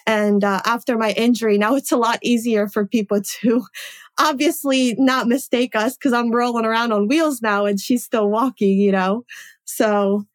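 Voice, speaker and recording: A woman's voice: on the high side, fairly even intonation, moderate pace. Chatty young woman, native Polish speaker. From Poland. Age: 20s. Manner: chatty, upbeat storytelling. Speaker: guest. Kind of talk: casual interview conversation.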